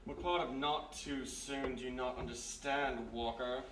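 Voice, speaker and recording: A man playing a gruff, authoritative police major in a live radio mystery play. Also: medium pitch, fairly even intonation, a little slow, a bit bright, close to an everyday voice. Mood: irritated. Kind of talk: 1940s radio mystery acting, live on stage. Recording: echoey live room, distant mic, faint noise.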